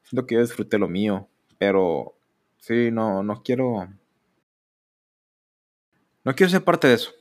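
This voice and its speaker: A Mexican man in his thirties speaks alone into a podcast mic in a fairly low voice. He talks candidly and rambles. Now and then he pitches up to mock other people. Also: lively intonation, unhurried, long pauses. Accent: Mexican Spanish. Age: 30s. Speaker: podcast host. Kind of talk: solo podcast monologue.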